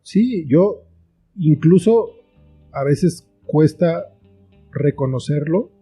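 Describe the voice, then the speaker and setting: A man's voice: fairly high pitch, expressive, very slow, dark tone, breathy edge, faint music behind. A man with a Mexican accent giving reflective, explanatory answers as an interview guest in a conversational style. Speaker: interview guest, screenwriter and author.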